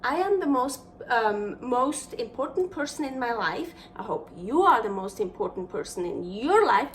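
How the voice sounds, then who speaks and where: A woman's voice: a little high, big pitch swings, normal speed, far from the mic. A young woman from Switzerland with a Swiss accent, hosting a casual cooking vlog in her home kitchen, chatting in a rambling way with many fillers and restarts. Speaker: cooking show host.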